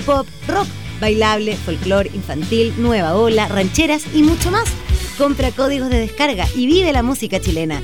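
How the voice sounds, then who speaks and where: A woman's voice: a little high, very animated, moderate pace. Upbeat woman over a music bed. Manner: upbeat promotional reading. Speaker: ad announcer. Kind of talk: radio commercial voice-over.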